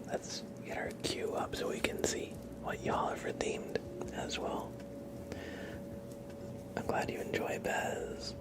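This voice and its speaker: A man whispering gently as he hosts an ASMR live stream, chatting to viewers in a breathy voice and often repeating short phrases. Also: slow pace, pauses often. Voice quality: breathy whisper with creaky edges.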